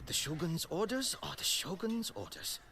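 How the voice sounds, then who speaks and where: An actor playing a weary, dry-humored mapmaker selling maps in a video game set in feudal Japan. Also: male voice, high voice, expressive, moderate pace, very noisy. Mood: dry, resigned.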